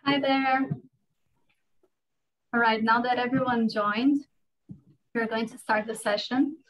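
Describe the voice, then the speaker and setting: A woman speaks as the moderator of an online session, with a fairly high voice. She runs the event over a video call and gives instructions to the participants. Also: steady tone, takes it slowly, poor audio.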